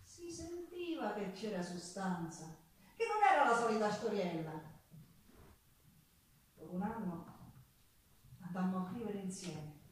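A woman's voice: medium pitch, measured pace, pauses often, recorded from a distance, a little hiss or hum, natural voice. An actress playing an earthy, spirited Sicilian folk singer woman, telling her life in a theatrical monologue with a Sicilian accent and bits of dialect and song. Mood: nostalgic, heartfelt. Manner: expressive storytelling, slipping into dialect and song. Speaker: stage actress and singer in a solo theatre piece. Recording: echoey theatre stage picked up from afar. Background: live guitar music.

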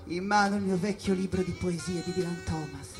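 An actor playing a tormented, restless jazz musician in a theatrical, impassioned radio monologue. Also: male voice, high-pitched, fairly even intonation, a little fast, over music, lightly acted. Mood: brooding. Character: tormented, drug-worn male jazz musician, restless and defiant.

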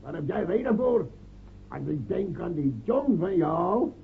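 A goading, gossipy village drunkard in a radio drama. His voice sits fairly high and he blusters in halting repetitions. The sound is narrow and tinny. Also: very animated, vocal fry, a little hiss or hum, full character voice. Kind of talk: radio play acting. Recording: tinny, narrow-band old radio play.

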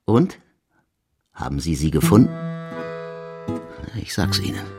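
A very deep-voiced, imperious chief inspector. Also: male voice, big pitch swings, music in the background, heavily acted. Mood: pressing, superior. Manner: deliberate, pointed interrogating delivery. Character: imperious, untouchable male police chief inspector, scornful and probing. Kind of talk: radio crime drama acting.

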